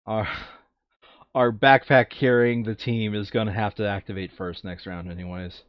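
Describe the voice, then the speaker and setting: A man's voice: fairly low pitch, steady tone, normal speed, no echo, muffled recording. A man playing a board game, chatting casually and bluntly with some swearing and reading game text aloud. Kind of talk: casual game table chat, some read-aloud narration.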